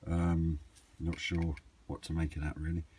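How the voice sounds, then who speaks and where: A man's voice: very deep voice, monotone, moderate pace, warm tone. A man chatting casually and off the cuff, hesitant as he thinks aloud in a hobby vlog. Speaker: hobbyist vlogger. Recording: clearly noisy amateur camera recording.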